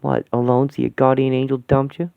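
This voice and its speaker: A man voicing a brash, blunt middle-aged woman who wisecracks loudly. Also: mid-range voice, monotone, a little fast, creaky, no room sound, close to an everyday voice. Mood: scornful. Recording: dry tinny phone-like sound.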